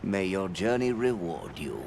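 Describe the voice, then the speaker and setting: A man voicing a calm, cryptic guide creature in a video game, speaking in a formal, measured, riddling way. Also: deep voice, slight background noise. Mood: solemn. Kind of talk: video game cutscene acting.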